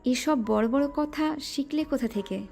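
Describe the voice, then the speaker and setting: A woman's voice: high voice, a little slow, gravelly, darkish tone, faint music behind. A woman playing a shy young female student who is guarded and teasing toward her admirer in an audio drama. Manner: bashful, doubtful, sometimes scolding.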